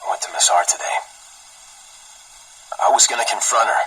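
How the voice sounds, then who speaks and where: An actor playing a guilt-ridden, haunted scientist confessing haltingly in a breathy, hoarse half-whisper for a game audio log. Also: male voice, fairly low pitch, bright, forceful tone, full character voice, low-quality recording. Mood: anxious, ashamed. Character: guilt-ridden, fearful, haunted military scientist aboard a ship.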